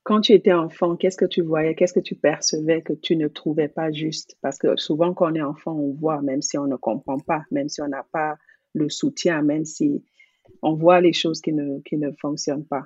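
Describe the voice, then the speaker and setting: An interviewer asks gentle, probing follow-up questions in a relaxed conversational tone. The voice is a little husky. The recording is dull and lo-fi, with a dry sound. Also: medium pitch, moderate pace, no echo.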